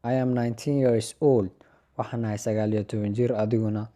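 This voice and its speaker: A young Somali-accented man reads short English practice dialogues and then explains them in his own language. He has a mellow, dark voice and a patient teacher's manner. He says each English phrase slowly and a little louder, then drops to a quieter voice for the explanation. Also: lowish voice, flat delivery. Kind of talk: language lesson, reading sample dialogues and translating.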